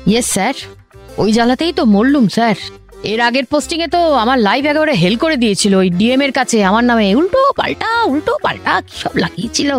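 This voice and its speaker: A woman's voice: on the high side, very animated, fairly quick, faint music behind. An actress playing a talkative, self-pitying woman government officer in a comic radio drama, gushing with complaints and repeating herself. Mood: aggrieved.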